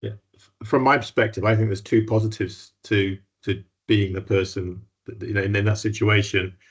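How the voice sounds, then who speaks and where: A man with a very deep, husky voice hosts the workshop in a friendly, chatty way. His delivery stays flat and even. The audio sounds dull and lo-fi. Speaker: workshop host. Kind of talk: hosting an online workshop.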